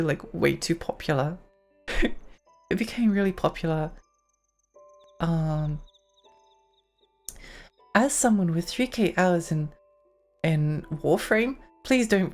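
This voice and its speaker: A young woman streaming a game, chatting in a rambling way with lots of fillers and giggles, her voice getting hoarse. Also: lowish voice. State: losing her voice. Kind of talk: casual live-stream chat while gaming.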